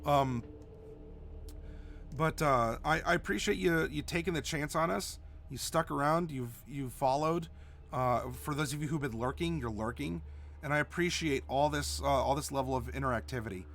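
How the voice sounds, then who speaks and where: A man with a cold, stuffy and a little hoarse, hosting a live stream in a rambling, casual way with many restarts. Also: a little high, moderate pace, a bit bright, slight background noise. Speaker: stream host.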